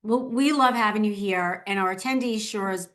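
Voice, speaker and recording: A woman's voice: medium pitch, moderate pace, a bit bright. A woman speaking as the host of a webinar, introducing a guest and addressing attendees.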